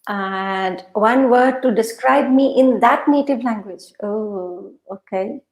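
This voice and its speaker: Female motivational coach, native Urdu speaker, slightly high voice, emphatic and instructive, recorded in an echoey room. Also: slow pace, darkish tone. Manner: emphatic, instructive, repeats phrases. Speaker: podcast guest, mindset coach. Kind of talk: conversational motivational advice.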